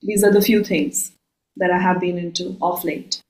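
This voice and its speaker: Woman, from Delhi, guest, calm and measured, echoey room. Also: lowish voice. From Delhi, India. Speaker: guest, life coach and healer. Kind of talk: casual self-introduction. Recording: roomy echo.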